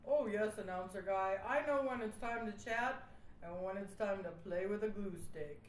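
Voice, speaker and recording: A woman's voice: medium pitch, normal speed, roomy sound, a little hiss or hum, close to an everyday voice, poor audio. A woman playing a gushing, lovestruck and deluded best friend with an eager, sugary manner. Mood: coy.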